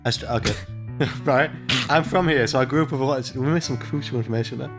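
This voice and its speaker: A man hosts a let's play, reading story text aloud and breaking off into irreverent, sweary commentary. His voice sits at an average male pitch, with guitar music playing behind it. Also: fast. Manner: irreverent, sweary, mocking asides. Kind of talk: joking commentary and read-aloud of a visual novel.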